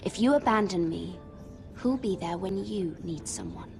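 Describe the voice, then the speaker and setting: A woman's voice: on the low side, animated, normal speed, gravelly, a little mellow, soft background music. A woman playing a defensive but loyal young noblewoman in a fantasy video game, earnest and guarded in delivery. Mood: hurt, earnest.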